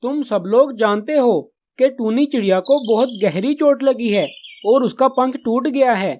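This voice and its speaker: A man's voice: extremely high pitch, measured pace, darkish tone. A man voicing a caring, responsible male pigeon in a children's cartoon, speaking in an earnest, explanatory way. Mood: earnest, firm. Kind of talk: Hindi children's cartoon dialogue.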